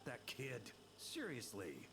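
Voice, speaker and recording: A man playing a seasoned knight commander in a video game, wry, composed and brooding, with a measured storyteller's delivery. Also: mid-range voice, wildly swooping pitch, gravelly, full character voice. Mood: stern. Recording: noisy game livestream audio.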